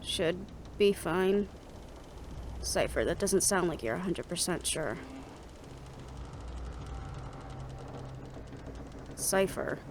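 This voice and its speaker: A woman's voice: fairly low pitch, lively intonation, unhurried, noisy background. A woman playing a guarded, stressed young woman in a fantasy audio roleplay, speaking conversationally and with some hesitation. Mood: worried. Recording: music behind the voice.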